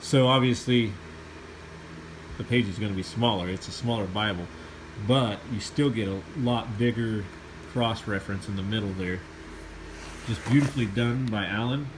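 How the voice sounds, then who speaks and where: A man's voice: lowish voice, normal speed, pauses often, noisy background. A man with a slight cold, sniffling a little, giving an enthusiastic, rambling casual review of a Bible.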